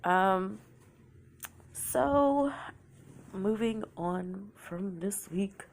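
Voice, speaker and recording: A woman's voice: mid-range voice, lively intonation, very slow. A young woman with a sore throat from a cold, telling her own story in a casual, confessional way and often trailing off into a whisper. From Oklahoma, United States.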